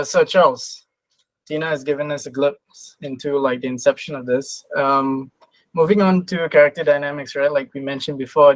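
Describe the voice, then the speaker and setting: A man with a fairly high voice hosts a casual interview. He phrases his questions loosely and informally, and the sound is very dry, with no room around it. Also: fairly bright tone, dry recording, low-quality recording. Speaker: podcast host, interviewer.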